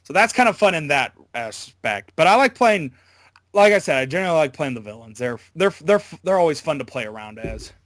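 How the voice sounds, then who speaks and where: Halting, higher-pitched man, close dry sound. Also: very animated, fairly bright tone, no echo. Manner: halting, hesitant, loosely worded. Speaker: voice actor being interviewed. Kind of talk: casual interview chat.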